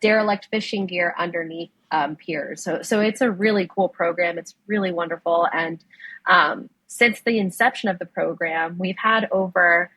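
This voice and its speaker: A woman whose first language is Spanish talks about her work with eager enthusiasm, often restarting her sentences and filling pauses with "um." Her voice is lowish and husky, and it sounds close and dry with no room around it. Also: a bit bright, dry recording, poor audio. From United States. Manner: enthusiastic, many fillers and restarts. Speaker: ocean conservation director, guest. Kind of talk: conversational interview.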